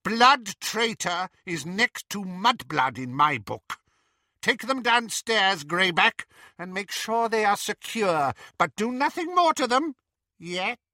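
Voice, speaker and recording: A frantic, cruel witch, put on by a man reading a storybook, shrieks orders in a high, piercing voice. Her voice is bright and close in a dry, dead room. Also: big pitch swings, slow, dry recording, exaggerated voice. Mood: cold commanding. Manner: screaming, high-pitched, imperious.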